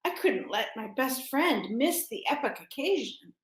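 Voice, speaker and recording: A woman voicing an excited, imaginative young male lion in a children's storybook, eager and dramatic. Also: a little high, a little slow. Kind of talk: children's story read-aloud. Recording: roomy echo.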